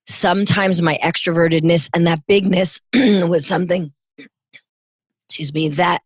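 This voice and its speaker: A middle-aged woman from New York with a New York accent and a raspy voice, hoarse from illness, talking emphatically and expansively as a podcast guest. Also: sits low, dry recording. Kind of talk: casual interview chat.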